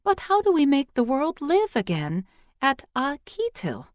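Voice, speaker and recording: A woman voicing an eager, curious young girl who asks questions excitedly in an audiobook story. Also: high-pitched, a little slow, no room sound, clean studio sound, voice pushed up, heavily acted.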